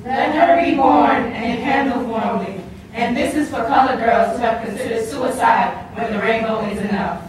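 A woman's voice: fairly high pitch, normal speed, a little hiss or hum, close to an everyday voice. A woman playing a spirited figure on stage, with theatrical recitation and chanting. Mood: solemn. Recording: very echoey hall, crowd, far off.

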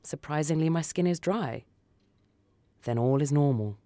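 A woman's voice: low voice, normal speed, warm tone, no echo. A woman reading a first-person short story for radio as scripted narration. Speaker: story reader.

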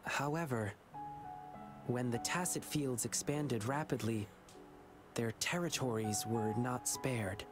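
A man's voice: medium pitch, natural voice. Earnest boyish anime hero over game music, voiced by a man. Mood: solemn. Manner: earnest, measured, wistful storytelling. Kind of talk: video game story dialogue.

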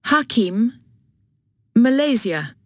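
A woman voicing a friendly young schoolboy in a textbook dialogue, speaking slowly and clearly. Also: on the high side, big pitch swings, no room sound, clean studio sound, full character voice. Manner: slow, clearly spoken greetings.

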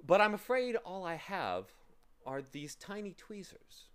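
As a man acts him out, this is a very pleasant storybook gentleman, happy and unfailingly polite. His voice sits raised and swings widely in pitch. He stretches his key words slowly as he cheerfully assigns pointless tasks. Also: very animated, clean tone, heavily acted. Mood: apologetic. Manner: happy, courteous, drawn-out emphatic delivery. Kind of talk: storybook character voice.

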